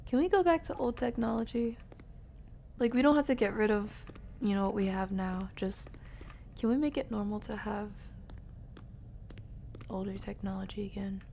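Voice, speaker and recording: A young woman streaming a game from her room, chatting casually and playfully, rambling and often dropping to a breathy near-whisper. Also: mid-range voice, moderate pace, pauses often, warm tone, slight background noise. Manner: playful, rambling, murmuring to herself. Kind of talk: casual live stream chat while playing.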